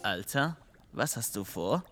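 A man's voice: fairly low pitch, sing-song, unhurried, a bit bright, soft background music. A man playing a sensible police detective in a comedic audio drama, with a dry, matter-of-fact delivery. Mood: exasperated.

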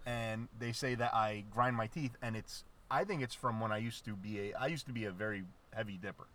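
A man's voice: on the low side, brisk, fairly bright tone. A man, co-hosting a podcast with teasing jokes and stammering restarts. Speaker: podcast co-host. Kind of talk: casual podcast banter.

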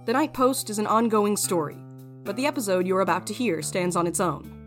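A woman reads a scripted podcast introduction as one of its creators. Her voice is mid-pitched, with faint plucked guitar music behind it. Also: a little fast. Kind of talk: scripted narration.

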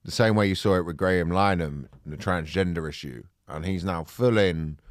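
A man's voice: low voice, fairly quick, no echo, studio quality. A man from Kent, co-hosting a podcast in sweary, jokey casual chat. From Kent, England. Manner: jokey, sweary banter with frequent laughter. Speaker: podcast co-host.

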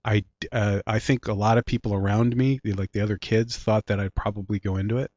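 A man's voice: on the low side, steady tone, fast talker, darkish tone, dry recording. A man in his late forties with a Philadelphia accent, a guest telling stories in a dry, facetious way while congested from a sinus infection. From Philadelphia area, US. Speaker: guest, director and writer. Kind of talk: casual interview chat. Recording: dry, dull lo-fi sound.

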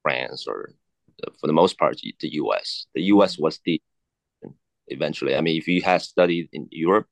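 A man in his thirties from China, a native Cantonese speaker, talking haltingly as an interview guest with many fillers and restarts. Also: very deep voice, expressive, vocal fry, dry recording. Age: 30s. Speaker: guest, watch collector. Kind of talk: casual interview chat.

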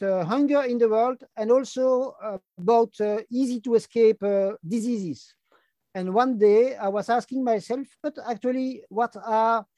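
A man in his fifties from Brussels, speaking English with a French-speaking Belgian accent, talking as an interview guest, pausing often and filling gaps with hesitations. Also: high-pitched, dark tone, dry recording. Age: 50s. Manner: halting, frequent hesitation fillers. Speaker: guest, longevity activist. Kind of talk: casual interview talk.

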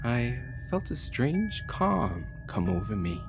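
Slow, grave survivor narrating. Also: male voice, mid-range voice, very animated, music in the background. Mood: solemn relief. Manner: slow, deliberate, solemn. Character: grave, weary man narrating his escape from a captor. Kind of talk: dramatic audio drama monologue.

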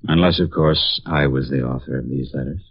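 Very deep, husky, bitter professor. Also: male voice, brisk, dry recording, exaggerated voice. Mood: bitter irony. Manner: florid, sardonic. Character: weary, bitter, theatrical male college professor. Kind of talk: 1950s radio drama acting. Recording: muffled vintage radio recording.

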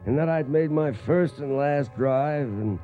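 A man's voice: fairly high pitch, unhurried, dull audio, faint music behind, close to an everyday voice. An actor playing a former cowhand reminiscing in folksy style as he narrates a Western radio drama. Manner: folksy storytelling. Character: reminiscing folksy male former cowhand telling his tale.

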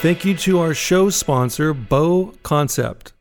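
A man's voice: a little high, slow, loud music behind the voice. A man hosting a podcast who asks his guest questions in an upbeat, encouraging way. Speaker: podcast host. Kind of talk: podcast interview.

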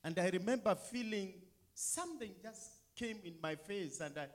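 A man, a guest speaker telling his personal testimony to a congregation in deliberate, formal phrases. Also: high voice, lively intonation. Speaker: guest speaker, hospital ambassador.

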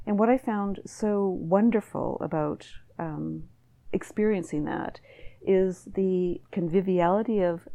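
A woman's voice: mid-range voice, a little slow, vocal fry, mellow. A middle-aged woman with a Canadian accent, speaking as an interview guest in a thoughtful, hesitant way with many restarts. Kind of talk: reflective conversational interview.

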